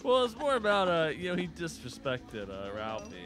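A man's voice: a little high, huge pitch swings, normal speed. A man co-hosting a live show with rambling, casual chat. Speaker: co-host. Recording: music behind the voice.

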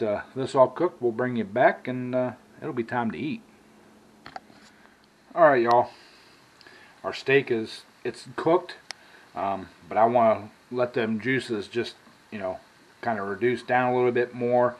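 An American man in his sixties with a folksy manner, casually talking through a cooking demonstration as a home cook. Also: mid-range voice. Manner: folksy, drawn-out asides with y'all. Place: home kitchen, indoors at the stove. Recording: clearly noisy home kitchen recording.

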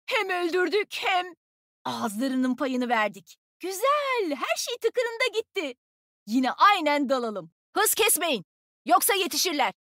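A woman playing a cocky, agile young female fighter in a video game, energetic, playful and teasing. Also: very high-pitched, takes it slowly, dry recording, clean studio sound, exaggerated voice. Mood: confident, eager. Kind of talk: game character voice lines, short combat callouts.

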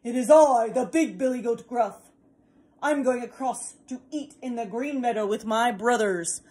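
A woman voicing a big, fearless male billy goat in a children's storybook reading, loud and defiant. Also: a little high, measured pace, close to an everyday voice.

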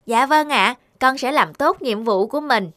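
A woman's voice: high voice, animated, moderate pace. A woman voicing a small child cupid in a cartoon, curious, earnest and polite like a pupil. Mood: eager, wondering. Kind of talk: cartoon dubbing.

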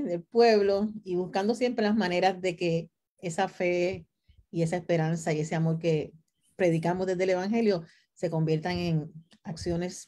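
A woman's voice: lowish voice, mellow. A middle-aged woman with a Puerto Rican accent, talking conversationally on a video call and often checking in with tag questions. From Puerto Rico. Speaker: theology professor, panelist. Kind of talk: conversational self-introduction. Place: indoor room with bookshelves, video call. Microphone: computer mic on a video call.

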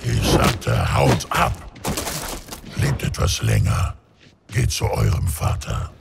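An actor playing a grim, embittered old orc veteran in a game cinematic, speaking tersely in a breathy, gravelly growl. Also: male voice, very deep voice, wildly swooping pitch, noisy background, exaggerated voice. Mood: resigned, gruff. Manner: slow, terse, brooding. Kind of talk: dramatic game cinematic acting.

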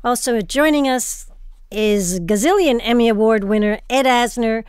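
A woman's voice: fairly high pitch, moderate pace, a little mellow, smooth, dry recording, studio quality. A woman hosting, presenting from a script and interviewing guests. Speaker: host.

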